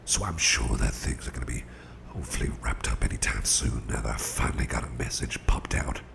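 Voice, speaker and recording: A man playing a gruff but tender, protective boss in an ASMR roleplay, murmuring soothingly in a gravelly, breathy half-whisper. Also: a bass voice, full character voice. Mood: tender, reassuring. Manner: soothing, unhurried, folksy, murmured. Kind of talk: ASMR roleplay, intimate personal attention.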